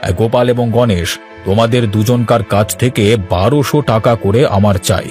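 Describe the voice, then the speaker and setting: A man's voice: fairly low pitch, fairly even intonation, normal speed, darkish tone, close to an everyday voice. Man voicing a stern older landowner, music behind. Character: stern older male landowner demanding repayment from workers. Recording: light background music.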